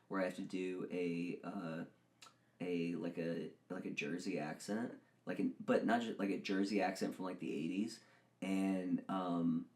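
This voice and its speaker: A young man from Texas with a somewhat low voice talks in a loose, rambling way. He restarts his sentences often and mocks himself while he chats. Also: fairly even intonation, measured pace, a little mellow. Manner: rambling, self-mocking, lots of restarts. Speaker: podcast co-host and actor. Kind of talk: casual chat.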